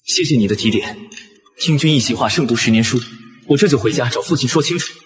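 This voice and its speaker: A young man playing an earnest, easily flustered noble heir, hesitant and insecure, in an animated costume drama. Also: medium pitch, fast talker, over music, close to an everyday voice. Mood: sincere, apologetic.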